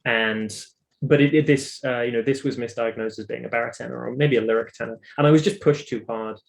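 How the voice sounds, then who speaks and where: A man's voice: medium pitch, fairly even intonation, a little fast, gravelly. A British man talking casually in an interview, explaining his own story in a talkative, emphatic way. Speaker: interview guest, singer and vocal coach.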